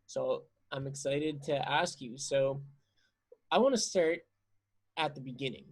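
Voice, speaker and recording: A man hosting a casual interview, putting his questions in a halting, deliberate way. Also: medium pitch.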